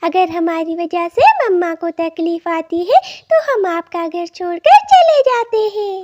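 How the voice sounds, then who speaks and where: A woman voicing a sweet, humble orphan bird girl in a cartoon, with a squeaky childlike voice and a polite, earnest manner. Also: animated, unhurried, mellow. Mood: hurt. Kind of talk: cartoon voice acting.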